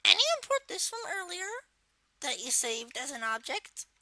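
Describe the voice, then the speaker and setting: Someone putting on the voice of a young cartoon turtle villain, exaggerated and swooping, while presenting a software tutorial. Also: sits high, sing-song, normal speed, no room sound, exaggerated voice. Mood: instructive. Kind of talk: software modding tutorial, improvised walkthrough.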